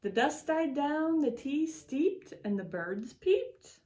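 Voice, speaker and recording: Woman for children's read-aloud: unhurried educator, swooping expressive delivery, mid-pitched voice, words stretched for effect. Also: a little hiss or hum. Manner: deliberate, expressive storytelling with drawn-out words and swoops. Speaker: extension educator reading aloud to schoolchildren.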